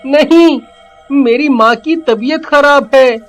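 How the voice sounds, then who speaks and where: Woman doing a high-voiced cheeky poor village boy, slow earnest cartoon delivery. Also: faint music behind. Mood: kind, wistful. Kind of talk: Hindi cartoon voice acting.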